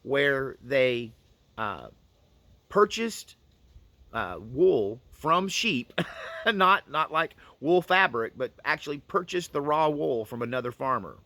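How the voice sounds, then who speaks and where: Bright-voiced man telling folksy stories. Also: mid-range voice, very animated, takes it slowly, no room sound. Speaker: storyteller and host. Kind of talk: casual first-person storytelling to camera.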